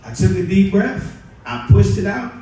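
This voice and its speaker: A man with a raised voice tells a crude, deadpan story on stage and throws a few questions to the crowd. The sound comes from a distance, with strong echo from the club room. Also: high voice, normal speed, soft background music. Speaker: comedy show host. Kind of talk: live stand-up comedy. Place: comedy club at a bar and grill. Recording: echoey club recorded from far off.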